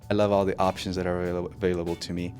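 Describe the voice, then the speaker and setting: A man, an MBA student giving steady, appreciative interview answers. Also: sits low, rapid-fire, soft background music. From Colombia.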